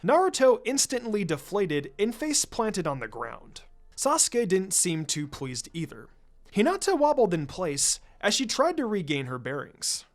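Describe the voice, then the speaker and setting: A man's voice: high-pitched, very animated, measured pace. A man reading a story aloud as narrator, in scripted narration. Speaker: fan fiction narrator.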